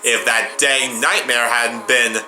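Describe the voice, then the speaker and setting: A man's voice: medium pitch, fairly even intonation, slow pace. A man doing live reaction commentary as a video host, exclaiming and talking back to the show he follows. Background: drama audio and music playing behind.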